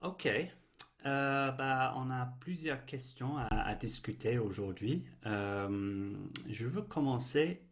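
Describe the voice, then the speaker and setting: A man interviewing a guest in a relaxed conversation, repeating words as he forms his questions. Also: medium pitch. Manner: halting, repeats words while forming questions.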